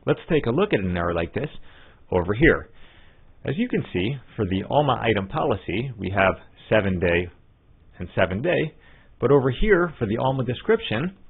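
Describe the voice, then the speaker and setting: A man giving scripted step-by-step tutorial narration as a software trainer, in an instructional manner. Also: lowish voice, big pitch swings, normal speed, breathy edge. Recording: muffled narrow phone-like line.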